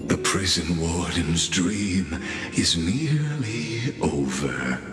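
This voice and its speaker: An actor playing a grandiose, theatrical scholar in a video game, declaiming solemnly and deliberately about doom. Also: male voice, deep voice, expressive, full character voice. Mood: commanding, ominous. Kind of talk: scripted video game dramatic monologue. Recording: long echoing reverb under loud music.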